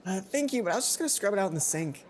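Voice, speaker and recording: A man's voice: high voice, expressive, rapid-fire. A young man voicing a nervous, flustered teenage schoolgirl in a visual novel. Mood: polite, flustered. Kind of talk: visual novel character reading.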